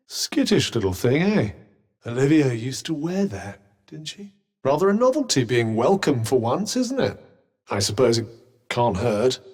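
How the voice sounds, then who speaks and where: A well-spoken British enchanted cuff for a video game, haughty and sarcastic. His delivery swoops expressively through dry, theatrical condescension, with a slightly husky edge to the voice. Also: medium pitch. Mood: wry, condescending, then dry, musing. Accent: British English. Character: sardonic, haughty, well-spoken talking magical cuff, male. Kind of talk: video game character dialogue.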